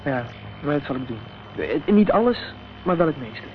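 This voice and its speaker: An actor playing an injured, guarded young activist in a Dutch radio drama, speaking hesitantly and evasively. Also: male voice, on the high side, big pitch swings, normal speed. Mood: subdued, explaining. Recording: dull, noisy old radio recording.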